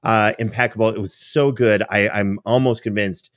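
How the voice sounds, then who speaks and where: A man's voice: fairly low pitch, steady tone, normal speed, a bit bright, dry recording, studio quality. A man hosting a show in a chatty, teasing, conversational way. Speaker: podcast host.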